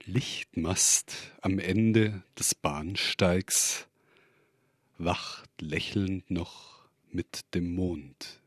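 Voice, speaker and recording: A man reading poetry in measured, separated short phrases. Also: deep voice, dry recording, clean studio sound. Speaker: poet reading own work.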